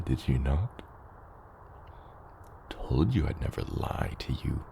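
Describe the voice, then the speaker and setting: An extremely low, gravelly dragon father, acted by a man, mentors a hatchling in an ASMR roleplay. He takes his time, patient and gently teasing, with some whispery edges. Clear ambient noise sits behind the voice. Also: exaggerated voice. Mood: encouraging, amused. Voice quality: very deep, gravelly, partly whispery. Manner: slow, measured mentoring with hesitant asides.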